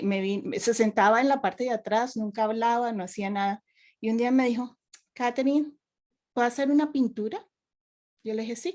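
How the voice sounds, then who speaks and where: A woman's voice: a little high, darkish tone. A woman raised in Colombia, a youth minister, giving earnest advice and telling personal stories in a panel discussion.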